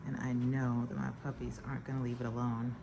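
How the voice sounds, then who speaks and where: A woman's voice: rumbling low, flat delivery, quick pace. An actress playing a devout woman facing breast cancer, talking to herself in a questioning, praying way. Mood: cheerful. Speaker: woman awaiting a cancer consult. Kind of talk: inner-monologue acting. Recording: very echoey, distant, noisy.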